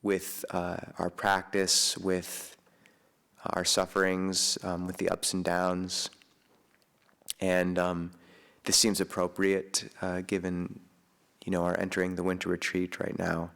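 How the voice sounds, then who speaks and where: A man, a Buddhist monk, giving an informal Dharma talk in a soft, hesitant way with many pauses and fillers. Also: deep voice, completely flat delivery, vocal fry. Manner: chants Pali homage at the start. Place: monastery meditation hall.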